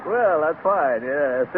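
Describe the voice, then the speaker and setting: An actor playing a hearty, self-satisfied, chuckling party host in a 1930s radio comedy. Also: male voice, fairly high pitch, animated, drawn-out words. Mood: jovial, smug. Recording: tinny, noisy old radio recording.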